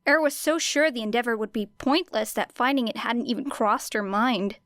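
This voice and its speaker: A woman's voice: a little high, moderate pace, a bit bright, no room sound, studio quality. A woman reading a story aloud as scripted audiobook narration. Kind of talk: scripted fan fiction narration.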